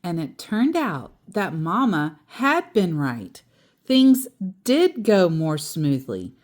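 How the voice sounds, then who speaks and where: Animated, unhurried woman reading a storybook. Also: on the low side. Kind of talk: read-aloud storybook narration with casual asides.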